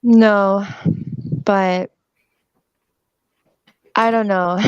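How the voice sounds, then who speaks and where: A woman's voice: mid-range voice, very slow, clear, resonant tone. A woman in her thirties chatting casually and laughing often on a group call, through a slightly dull lo-fi mic. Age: 30s. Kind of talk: casual group video chat.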